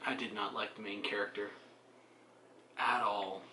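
A man in his forties talking casually about books as a co-host, in a halting, wry way. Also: on the low side, fairly even intonation, bright, forceful tone, slight background noise. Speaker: book vlogger, co-host. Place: small room at home. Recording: roomy home video sound.

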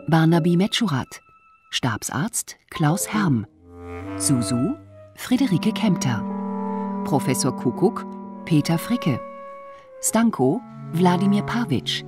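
Low-voiced, unhurried woman announcer over music. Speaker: announcer reading cast credits. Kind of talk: scripted announcement.

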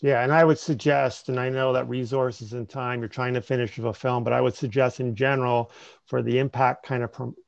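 A man in his forties moderates an online panel with a measured, hesitant delivery full of fillers. His voice is gravelly, and the sound is dry and slightly dull, as from a webcam in a home study. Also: mid-range voice, flat delivery, no room sound. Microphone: webcam mic on video call. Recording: dry, slightly dull video call audio.

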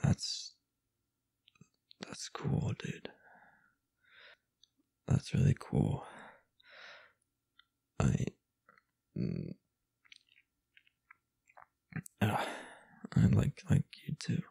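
Casting: male actor as tipsy, lovestruck young roommate, gravelly and slow, for intimate audio roleplay. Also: wildly swooping pitch, mellow, in a whisper, full character voice. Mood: bashful, tender. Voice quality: husky, breathy, gravelly low voice. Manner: slow, halting, slurred drowsy tipsy delivery. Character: drunk, affectionate young male roommate confessing his crush.

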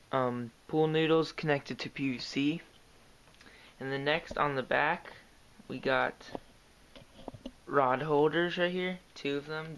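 A young man talks softly and haltingly, with lots of fillers, as he points out gear for the camera. His voice is gravelly and stays on a flat, even pitch. A steady hiss from the handheld camera sits under the talk. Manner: soft-spoken, hesitant, lots of fillers. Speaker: kayak angler showing his gear. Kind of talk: casual show-and-tell vlog. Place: indoors, carpeted room at home. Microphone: handheld camera close to the gear. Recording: noisy home camera recording.

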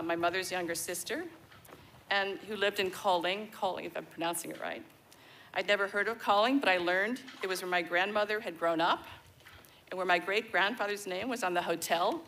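An American woman in her sixties gives a measured, reflective personal speech at a podium microphone on an outdoor festival stage. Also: medium pitch, fairly bright tone, slight background noise, poor audio. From born in Denmark, raised in the United States. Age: 60s. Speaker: guest speaker at a celebration. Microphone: podium mic on a stand.

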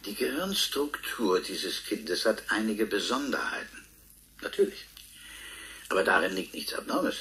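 An actor playing a proud, defensive professor being interviewed in a radio drama, pedantic and pointed, with deliberate emphasis. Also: male voice, fairly low pitch, expressive, sharp tone, full character voice, rough recording. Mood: explanatory, precise. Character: proud, defensive male professor, Nobel-winning scientist.

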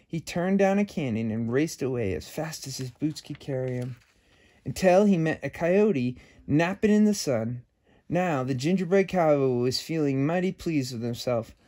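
Guy doing story time for kids, voice a bit high, expressive reading w/ casual asides. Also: moderate pace. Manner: speaks to children, expressive storytelling. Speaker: children's story time host. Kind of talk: picture-book read-aloud with casual asides.

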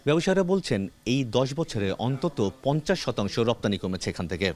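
A man narrating a television news report in an even, measured delivery. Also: medium pitch. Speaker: field reporter.

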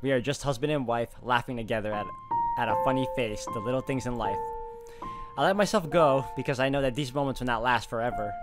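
Guy reading a visual novel out loud, cracks jokes, clear music behind. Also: medium pitch, quick pace. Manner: wry, quips between narration. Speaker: game streamer.

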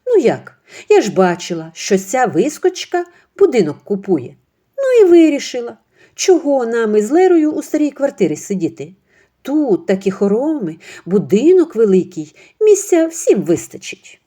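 A woman voicing a pushy, self-pitying older mother-in-law who talks in a high, wheedling tone. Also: huge pitch swings, moderate pace, dark tone. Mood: smug. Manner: shrill, wheedling, high-pitched.

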